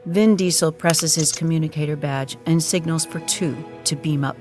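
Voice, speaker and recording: Man playing a deadpan administrator narrator over music. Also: on the high side, moderate pace, lightly acted. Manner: deadpan, grandiose. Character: solemn administrator narrating absurd events. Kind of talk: scripted-sounding storytelling narration. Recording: prominent music behind the voice.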